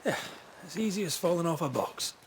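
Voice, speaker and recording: A man's voice: a little high, lively intonation, fairly quick, a little hiss or hum, close to an everyday voice. A man playing a wry, confident young apprentice ghost hunter in a video game. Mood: alert. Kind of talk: game voice acting. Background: music.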